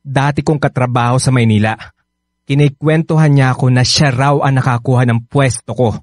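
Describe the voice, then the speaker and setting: A man's voice: mid-range voice, steady tone, normal speed, no echo, natural voice. A Filipino-accented man acting in a radio drama as an evasive, resentful young husband and father on the defensive.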